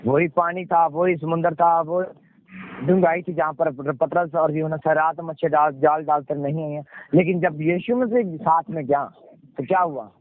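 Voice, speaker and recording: A man's voice: a little high, fairly even intonation, moderate pace. A male pastor with a Punjabi accent preaching in an exhorting way, repeating phrases and asking questions that he answers himself. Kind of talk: sermon with rhetorical questions, call and response. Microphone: conference call. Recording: muffled, noisy conference call audio.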